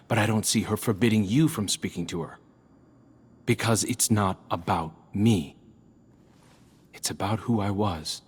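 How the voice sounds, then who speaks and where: A man's voice: fairly low pitch, slightly rough. An actor playing a resentful, defiant male guardian in a video game, bitter and pointed in his delivery. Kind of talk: video game dialogue acting.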